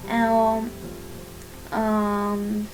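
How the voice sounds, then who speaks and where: A woman whose first language is Chinese, speaking English with a Chinese accent, giving a casual spoken lesson as a channel host, halting and searching for words with many fillers but encouraging and reassuring. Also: mid-range voice, monotone, music in the background, rough recording.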